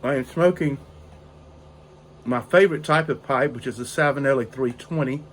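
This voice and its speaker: Hoarse older man, rambling. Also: mid-range voice, slight background noise. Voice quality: raspy. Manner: slow, rambling, with frequent fillers. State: hoarse from a cold. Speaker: pipe-smoking video host. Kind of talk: casual storytelling chat. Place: outdoor porch in drizzling rain.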